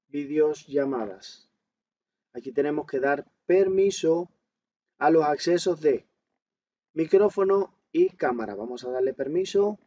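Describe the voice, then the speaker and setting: Mellow, higher-voiced man giving a tutorial. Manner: explanatory, poses questions and answers them himself. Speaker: instructor. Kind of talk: step-by-step smartphone tutorial, talking while demonstrating. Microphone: voice-over on a screen recording. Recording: dull lo-fi microphone sound.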